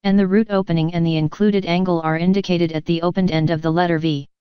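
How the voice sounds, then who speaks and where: A woman instructor narrates a scripted technical lesson in a calm, even, measured manner. Her voice is slightly low and very clear and clean. The recording is dry and close, with no room sound. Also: dry recording, dull audio. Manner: measured, even explanatory delivery.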